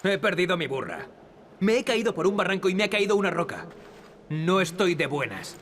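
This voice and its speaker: An actor with a Castilian Spanish accent playing a cocky, sarcastic young adventurer in a video game. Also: male voice, high voice, very animated, fairly quick, a bit bright, slight background noise. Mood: sarcastic, annoyed. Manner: sarcastic, glib, exclamatory.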